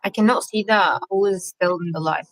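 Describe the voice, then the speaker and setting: A woman's voice: fairly low pitch, normal speed, low-quality recording. A woman from Egypt hosting a casual live-stream Q&A, chatting and reading viewers' comments aloud with lots of fillers. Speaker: vlog host.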